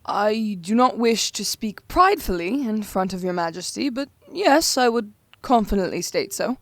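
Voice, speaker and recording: Actress as a nervous bookish young lord, lively, dry close mic. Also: female voice, medium pitch, normal speed, dry recording. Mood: formal. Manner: flustered, formal. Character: young nobleman heir, anxious and bookish.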